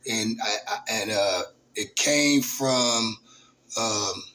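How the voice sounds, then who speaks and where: A man, hosting his own channel in a casual, halting monologue full of fillers and restarts. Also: fairly low pitch, bright, forceful tone, low-quality recording. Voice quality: raspy voice. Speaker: YouTube channel host.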